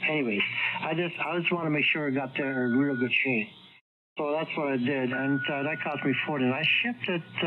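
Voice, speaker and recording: Guy on ham radio, thin crackly signal with lots of noise, kinda halting. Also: medium pitch. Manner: halting, searches for words. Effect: two-way radio. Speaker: amateur radio operator. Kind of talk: casual radio chat. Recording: tinny, very noisy ham radio transmission.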